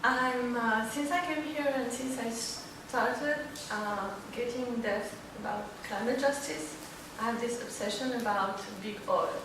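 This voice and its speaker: A woman's voice: on the high side, unhurried, hardly any pauses. A woman with a Moroccan Arabic accent giving an informal personal talk as a student panelist, searching for words as she goes. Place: library event room, indoors. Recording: very echoey, distant, clearly noisy room recording.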